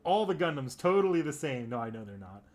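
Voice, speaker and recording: A man's voice: a little high, very animated, quick pace. A man chatting casually while streaming a game. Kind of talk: casual chat while gaming.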